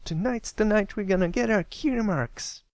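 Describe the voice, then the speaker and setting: A brash young filly, performed by a man in falsetto-like range, speaks far above a normal male pitch. She is bossy, proud and snappy, a storybook kid pony in a dry recording. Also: normal speed, no echo.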